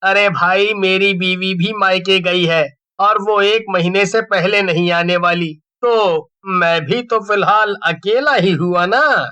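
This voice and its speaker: A man's voice: high voice, slow, few pauses, bright, forceful tone, clear, resonant tone. A man acting out dialogue in a dramatized story. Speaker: voice actor.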